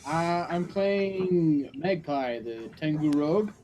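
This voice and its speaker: A man's voice: a little high, slow, a little hiss or hum. A man playing in a tabletop game, talking casually with lots of fillers. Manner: animated, filler-heavy. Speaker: player.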